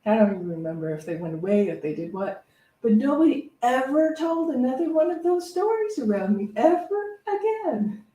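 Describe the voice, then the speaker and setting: A woman's voice: sits high, big pitch swings, normal speed, mellow, slight background noise. A woman reverend giving a conversational, unscripted inspirational talk, reflective and anecdotal, often repeating phrases as she feels her way along. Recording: echoey room, distant microphone.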